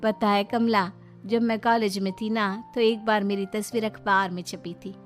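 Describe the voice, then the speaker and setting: A woman voicing a meek, wistful, self-deprecating young daughter-in-law in a storybook tale, gently and earnestly. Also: medium pitch, steady tone, moderate pace, soft background music, natural voice. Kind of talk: acted storytelling.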